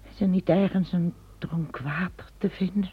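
An actress playing an older empress, lost and weary as a pilgrim, in an old radio play. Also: female voice, on the low side, fairly even intonation, moderate pace, breathy edge, highs cut off. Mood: uneasy. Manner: weary and uneasy. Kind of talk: radio drama acting.

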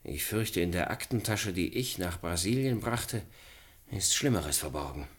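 A man's voice: low-pitched, expressive, measured pace, slightly rough, full character voice. An actor playing an earnest, troubled man with a wry, sceptical streak, questioning others in a German radio play. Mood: worried. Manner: earnest, questioning, dryly ironic.

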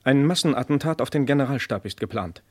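A man's voice: mid-range voice, expressive, fast, no room sound, studio quality, natural voice. A man playing an urgent military messenger reading an official warning in a vintage radio crime drama. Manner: emphatic, official, declamatory.

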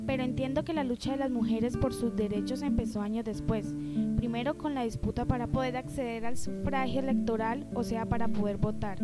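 A woman's voice: fairly high pitch, lightly acted. A woman playing an outspoken, bookish young feminist in a radio drama, articulate, with a Colombian accent. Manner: articulate, explanatory.

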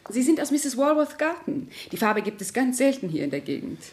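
An actress playing a poised, composed housewife making polite conversation in a radio play. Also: female voice, high voice, sing-song, a little fast, a little mellow. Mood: composed, sociable. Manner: polite, composed, domestic small talk.

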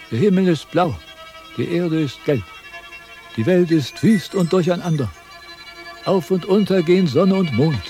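A self-important philosopher in a theatrical radio play. His voice sits fairly high and swings widely as he declaims and quibbles with serene pomposity. Some music sounds along with it. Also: dark tone. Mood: solemn invocation. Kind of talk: theatrical German radio drama acting.

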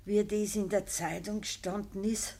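An actress playing a gentle, ailing old farmer's wife in a radio play, speaking Bavarian dialect with a weak, resigned manner. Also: female voice, mid-range voice, fairly even intonation, close to an everyday voice. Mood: sorrowful. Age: older. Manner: slow, plain rural dialect speech. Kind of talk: naturalistic radio drama acting.

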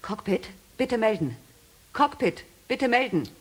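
An actress playing a brisk, loyal female shuttle crew member, assertive and questioning, in a science fiction radio play. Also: fairly high pitch, big pitch swings, husky, full character voice. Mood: urgent.

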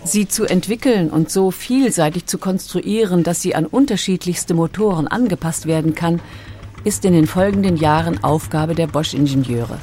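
Mellow, lowish female documentary narrator. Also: normal speed.

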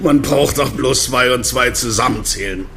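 An actor playing a defensive, streetwise drug-gang underling explaining himself in a radio crime drama. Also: male voice, medium pitch, moderate pace, creaky, bright, forceful tone, a little hiss or hum.

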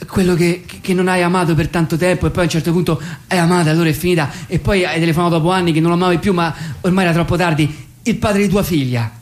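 An actor playing a hesitant, wistful man phoning his lost love, in a meek deadpan for comic radio. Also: high-pitched, quick pace, natural voice. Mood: hesitant, insistent. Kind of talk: comic radio monologue phone dialogue.

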